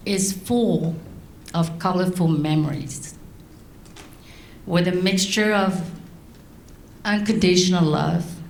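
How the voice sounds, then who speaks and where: A middle-aged woman from Laos, raised in France, giving a prepared speech to an audience in slow, deliberate phrases. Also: fairly low pitch, darkish tone, roomy sound, slight background noise. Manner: slow, deliberate delivery with long pauses. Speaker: guest speaker.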